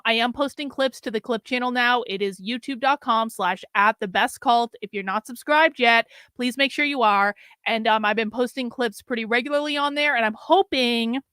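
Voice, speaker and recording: Brief: chatty middle-aged woman streamer, a bit hoarse, smooth higher voice, dry close sound. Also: fairly bright tone, no echo. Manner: chatty, rambling, wry asides to the audience. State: losing her voice. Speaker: livestream host. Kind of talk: casual livestream chat.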